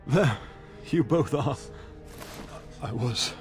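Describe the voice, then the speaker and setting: An actor playing a gravely wounded middle-aged noble father in a video game, halting and labored, loving and dutiful. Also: mid-range voice, animated, faint music behind. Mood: pained. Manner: halting, pained, labored delivery. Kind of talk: dramatic video game acting.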